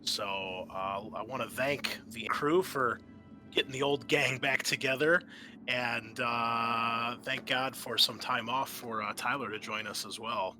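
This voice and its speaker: A man's voice: mid-range voice, fairly even intonation, unhurried, music in the background. A man hosting a tabletop gaming show as game master, speaking in a casual podcast style.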